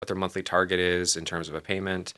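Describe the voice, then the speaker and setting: A man's voice: low-pitched, level tone, fast talker, pushed and bright, smooth. A man in his forties speaking informally and emphatically in a conversational interview, as a real estate team leader who is a guest. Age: 40s.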